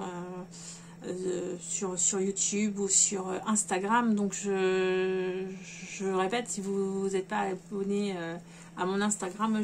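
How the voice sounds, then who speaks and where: A woman talks casually at a middle pitch, rambling along and stopping often to restart her sentences with fillers. Clear background noise runs under her home recording. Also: darkish tone. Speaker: sewing vlogger. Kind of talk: casual chat to camera.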